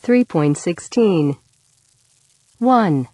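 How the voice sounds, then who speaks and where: A woman reads an article aloud as scripted narration for a language course, measured and clearly articulated. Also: lowish voice, lively intonation, a little mellow, no echo.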